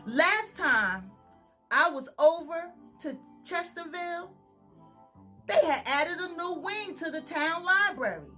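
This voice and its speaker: A woman with a high, bright voice reads a children's story slowly and expressively. She often pauses to ask her young listeners questions. Faint music plays behind her. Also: animated. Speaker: storytime reader. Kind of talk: children's story read-aloud.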